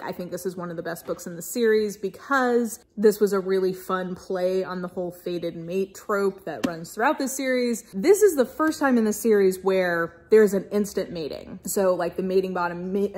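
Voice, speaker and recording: A woman's voice: medium pitch, a little mellow. A young adult woman, talking casually and emphatically to camera as an enthusiastic, informal book reviewer. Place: small-to-medium living room indoors with bookshelves.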